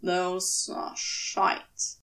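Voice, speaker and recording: A woman's voice: extremely slow, sharp tone, distant mic. A woman voicing a laconic, caring male demon who mutters sleepily in short, casual phrases. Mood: drowsy.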